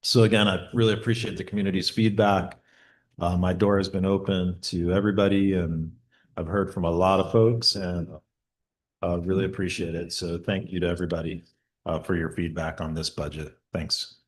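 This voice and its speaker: A man speaking as mayor at a public budget hearing, halting with many fillers and restarts, a bit far from his table mic. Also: low-pitched, steady tone. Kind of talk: public meeting remarks. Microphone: table mic at some distance.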